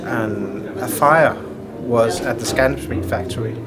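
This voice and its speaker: Danish designer, 40s, earnest and technical, showroom interview, camera mic. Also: male voice, mid-range voice, heavy background noise. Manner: earnest, explanatory, slightly halting. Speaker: loudspeaker designer. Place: indoor hi-fi showroom.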